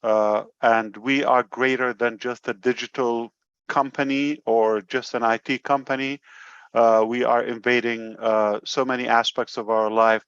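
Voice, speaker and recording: Man with smooth, slightly dull-sounding voice. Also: medium pitch, little pitch movement, a bit bright, no echo. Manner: halting, searching for words. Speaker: company leader speaking at an online meeting. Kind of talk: informal motivational address and chat.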